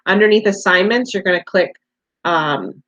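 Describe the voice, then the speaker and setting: A woman's voice: medium pitch, normal speed, a bit bright, highs cut off. A woman teacher giving a read-aloud lesson to young children, speaking simply and encouragingly, with questions to the listeners.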